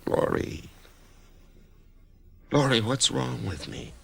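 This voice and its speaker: An actor playing a frightened dying husband in a radio drama, anxious and stammering. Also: male voice, mid-range voice, takes it slowly, creaky, a bit bright, husky, heavily acted. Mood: subdued. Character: frightened dying husband, middle-aged man. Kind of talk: 1990s radio drama acting.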